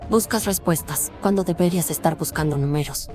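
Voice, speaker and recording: Woman as a low, ominous ancient oracle. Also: a little fast, warm tone, soft background music, close to an everyday voice. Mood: ominous, cryptic. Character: cryptic, haughty ancient female oracle spirit.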